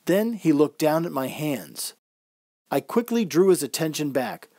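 A man's voice: a little high, lively intonation, a little slow, darkish tone, dry recording, clean studio sound. A man reading a scripted first-person story as narrator, in the manner of old-time radio drama.

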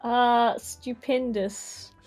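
A woman's voice: a little high, fairly even intonation, very slow, a little mellow, soft background music. A woman giving short playful answers in a casual group game. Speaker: guest player.

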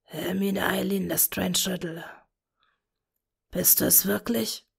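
A woman doing a hushed, broken-voiced dark wizard. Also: medium pitch, steady tone, moderate pace, vocal fry. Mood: awed. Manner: soft, whispery, broken. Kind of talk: fan fiction reading.

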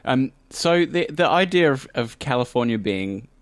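A man's voice: mid-range voice, animated, normal speed, no echo. Australian man, dry close sound. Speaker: podcast interviewer. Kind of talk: casual chat.

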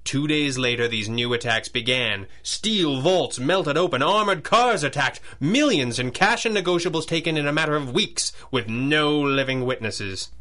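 An actor playing a brooding, wealthy masked crime-fighter in a 1930s-style radio adventure, deliberate and articulate. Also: male voice, fairly high pitch, animated, pushed and bright. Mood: grave, analytical.

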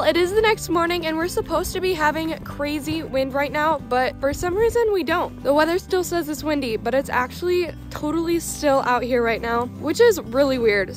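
Young female vlogger, high voice, American accent, casual farm diary talk over music. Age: teens to young adult. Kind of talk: casual vlog narration. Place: snowy farm outdoors, barns, and a room indoors. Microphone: phone at arm's length.

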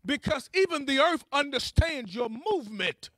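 A man's voice: extremely high pitch, big pitch swings, moderate pace, a bit bright, dry recording, studio quality. A man preaching a charismatic sermon in a fervent, emphatic way, exhorting his listeners and repeating key phrases.

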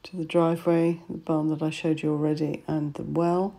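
A woman's voice: sits low, level tone, normal speed, dark tone. A woman estate agent narrating a walkthrough of a house, listing its features matter-of-factly in short phrases. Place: inside an old house.

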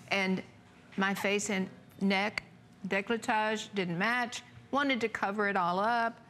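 A woman in her seventies telling her story as a guest on a TV shopping show, talking warmly and plainly. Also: mid-range voice, a little hiss or hum. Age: 70s. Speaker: guest customer and model. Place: TV shopping studio.

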